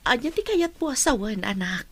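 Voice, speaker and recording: A woman's voice: on the high side, big pitch swings, moderate pace. A woman with an Ilocano accent playing a worried, wronged middle-aged mother and wife in an emotional radio drama. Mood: concerned.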